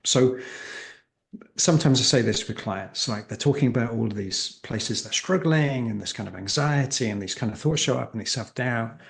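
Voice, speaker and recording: A man with a creaky voice speaking as an interview guest and coach, explaining emphatically with rhetorical questions and acted-out examples. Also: medium pitch, normal speed. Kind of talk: animated interview conversation, explaining.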